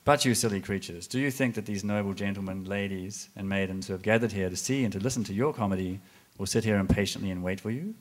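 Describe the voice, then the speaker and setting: A man's voice: fairly low pitch, fast, few pauses, natural voice. A man playing a scornful, bellowing prologue. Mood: scolding. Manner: loud theatrical scolding, mock laughter. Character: scornful mocking male prologue speaker. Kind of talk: live stage reading of a comedy. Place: live performance space with audience.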